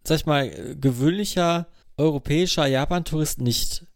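Halting male podcaster, dry sound. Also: fairly high pitch, creaky, dry recording. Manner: halting, repeats words while searching for phrasing. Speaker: podcast co-host. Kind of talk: casual chat.

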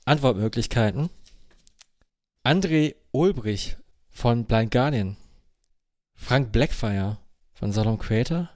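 Male podcast host, mid pitch, casual teasing quizmaster delivery, dry recording. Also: lively intonation, takes it slowly, pauses often, a little mellow, no echo. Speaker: podcast host running a quiz.

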